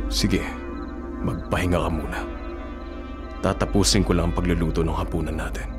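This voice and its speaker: A man acting a middle-aged Filipino father in a radio drama. He is gentle yet guarded, with a very low voice. Music plays clearly beneath him. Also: fairly even intonation, normal speed, natural voice. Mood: gentle, fatherly. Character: secretive aswang father, gentle to his daughter. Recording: music bed under the dialogue.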